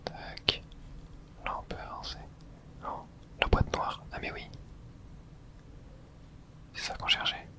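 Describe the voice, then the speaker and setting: A person whispering informal ASMR commentary while playing a video game, muttering reactions with some mild swearing in a breathy, unvoiced voice. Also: takes it slowly, pauses often. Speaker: gamer streaming playthrough for viewers.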